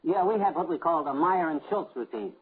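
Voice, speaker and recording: An actor playing a boastful, easily exasperated middle-aged husband in a 1950s radio comedy. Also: male voice, on the high side, lively intonation, fast talker, husky. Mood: self-assured. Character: blustery, self-assured husband, amateur vaudeville joker. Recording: noisy narrow-band 1950s radio recording.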